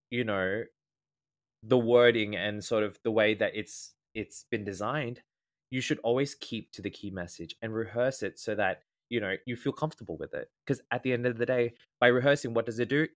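A man's voice: lowish voice, moderate pace. An Australian man with a slightly nasal voice, a guest in a casual interview, earnestly explaining advice point by point. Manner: earnest, explanatory, lays out tips in ordered points. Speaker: guest speaker, former event MC.

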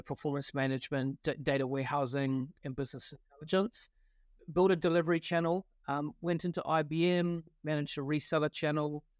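A man with a New Zealand accent talks through his career in a podcast interview, pausing often and restarting his sentences. His voice sits fairly high for a man. The sound is dry and close, with no room echo. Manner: hesitant, many fillers and restarts. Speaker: guest, partnership consultant.

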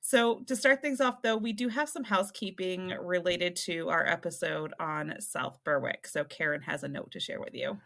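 A woman co-hosting a podcast in casual chat, measured and earnest, often adding clarifications. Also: on the low side, creaky, fairly bright tone. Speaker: podcast co-host.

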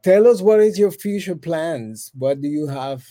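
Mellow-voiced effusive male interview host. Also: a little high, lively intonation, unhurried. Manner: effusive, repeats praise, rambling questions. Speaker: interview host, festival representative. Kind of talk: casual interview.

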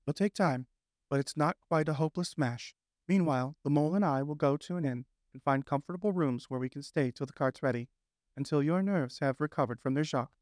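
A man voicing a practical male water rat who speaks firmly and briskly. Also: fairly high pitch, a little mellow, no room sound, studio quality, close to an everyday voice. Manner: firm, brisk, exasperated.

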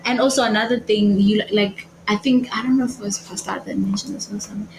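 A young woman who is not a native speaker, chatting casually on a panel and pausing to find her words. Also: mid-range voice, fairly even intonation, creaky, a little mellow, a little hiss or hum, rough recording. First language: not English. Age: young adult. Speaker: podcast panelist. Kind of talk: casual faith discussion.

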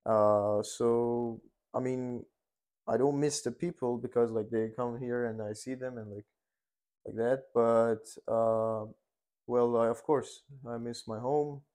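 A man's voice: mid-range voice, steady tone, takes it slowly, warm tone. A young man in his twenties from Iran, a dental student answering interview questions in a hesitant way, with many fillers and restarts. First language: Persian. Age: 20s.

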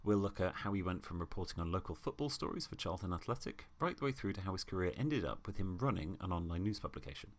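A man hosting a casual podcast interview, chatty and quick to laugh. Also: sits low. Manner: chatty, laughs easily, lively delivery. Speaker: podcast host.

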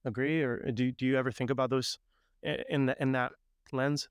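A man's voice: mid-range voice, level tone, moderate pace, clear, resonant tone, no room sound, clean studio sound. A man from Puerto Rico hosting a podcast, talking casually and thoughtfully with his sister at his parents' house, often affirming what she says. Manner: thoughtful, agreeable, frequent backchannel affirmations. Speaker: podcast host.

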